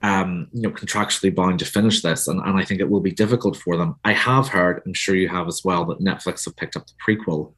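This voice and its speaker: A man's voice: sits low, a little fast, few pauses, a bit bright. A man with a Northern Irish accent, an author talking as a guest in a conversational interview, thoughtful and hesitant with many restarts. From Northern Ireland.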